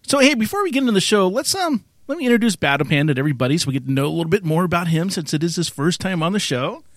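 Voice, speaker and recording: A man's voice: high voice, big pitch swings, racing, dry recording, clean studio sound. A man co-hosting a podcast in a casual, chatty interview style. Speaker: podcast co-host.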